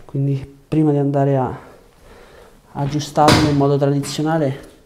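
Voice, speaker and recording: A man's voice: on the high side, completely flat delivery, moderate pace, heavy background noise. A young man working as a chiropractor in a small treatment room, giving short reassuring directions and explaining the treatment. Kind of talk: hands-on treatment talk, explaining to patient and viewers. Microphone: lapel mic.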